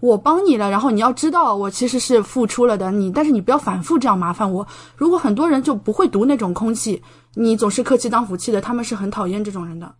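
A woman's voice: a little high, expressive, fast. A young adult woman from the Shanghai area of China, a podcast guest chatting casually and explaining with plenty of examples. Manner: chatty, explanatory, gives examples with acted-out dialogue. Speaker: podcast guest, doctoral student in Japan. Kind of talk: casual interview conversation.

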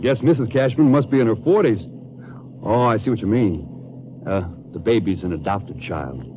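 A man's voice: lowish voice, lively intonation, normal speed, close to an everyday voice. Folksy police detective, played by a man, tinny radio sound. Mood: earnest, businesslike. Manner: conversational, folksy, businesslike. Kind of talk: 1950s radio drama acting. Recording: tinny, faintly noisy vintage radio.

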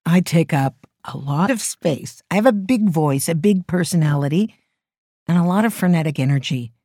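Warm, earnest woman podcast host. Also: sits low, animated, moderate pace, no room sound, studio quality. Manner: earnest, emphatic delivery. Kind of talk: reflective spiritual talk.

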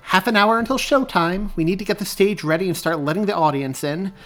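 A man's voice: mid-range voice, sing-song, moderate pace, soft background music. A man voicing a stern, scornful old woman, a commanding theater director in an audiobook, with clipped delivery. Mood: brisk, commanding. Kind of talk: audiobook character dialogue.

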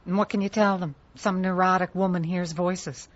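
A woman's voice: lowish voice, steady tone, moderate pace, lightly acted. An actress playing an anxious, irritable young woman musician in an audio drama, with an edgy, naturalistic delivery. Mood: uneasy. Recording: dull lo-fi audio with background noise.

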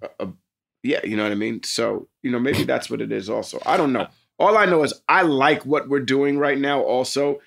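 A man's voice: medium pitch, fairly quick, vocal fry, fairly bright tone. A man with an American accent co-hosting a podcast in casual banter, talking in an emphatic, slangy way with some swearing. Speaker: podcast co-host.

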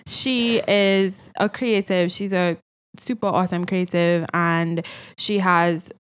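A woman's voice: lowish voice, slow, clear, resonant tone. Woman, podcast co-host, casual chat, laughs a lot. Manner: laughs often, animated storytelling.

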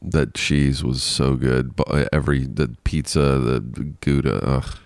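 A man's voice: rumbling low, steady tone, a little slow, darkish tone, clean tone, no room sound, clean studio sound. A man hosting a casual solo podcast, rambling and self-deprecating with frequent laughs, sounding congested and nasal. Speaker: podcast host.

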